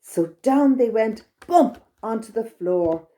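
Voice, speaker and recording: A woman's voice: a little high, animated, slow, dark tone. A woman with an Irish accent narrating a children's storytime expressively, exclaiming and repeating things for young listeners.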